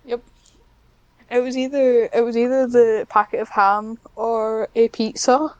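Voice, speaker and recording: A woman's voice: on the high side, flat delivery, measured pace, clear, resonant tone, dry recording, muffled recording. A young woman with a British accent, chatting casually as a podcast guest and telling stories in a rambling, giggly way. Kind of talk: casual chat.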